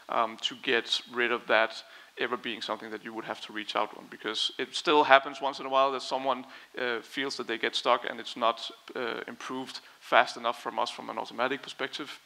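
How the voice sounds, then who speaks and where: A man presenting at a conference takes questions into a headset mic. His voice is bright and forward, with a gravelly fry. He explains things carefully and diplomatically, in a measured way. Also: medium pitch, low-quality recording. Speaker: company presenter answering audience questions. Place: conference stage in a large brick and concrete hall.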